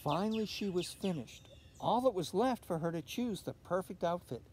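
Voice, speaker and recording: An older man reading a picture book aloud outdoors in an expressive way, putting questions to young listeners. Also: high voice, normal speed. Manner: expressive, asks tag questions. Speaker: storybook reader. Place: outdoors in a leafy fenced yard. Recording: outdoor recording with faint ambient noise.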